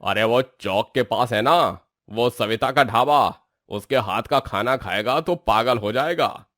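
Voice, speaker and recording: A man's voice: mid-range voice, measured pace, a bit bright, no room sound, clean studio sound, lightly acted. A man playing hearty, food-loving village fellows in a Hindi moral story. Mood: enthusiastic. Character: hearty village men, enthusiastic food-loving friends and diners.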